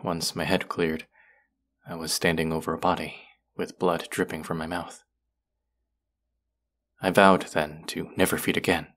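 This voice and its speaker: A man's voice: deep voice, flat delivery, unhurried, pauses often, fairly bright tone. A young man acting as an earnest, wry young vampire in an intimate audio roleplay drama. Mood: remorseful, weary.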